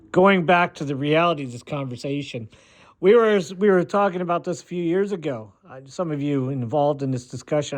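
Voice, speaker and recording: An American man giving a rambling, opinionated monologue in a blunt, dismissive way, often halting and repeating himself. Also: fairly high pitch. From United States. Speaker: video maker.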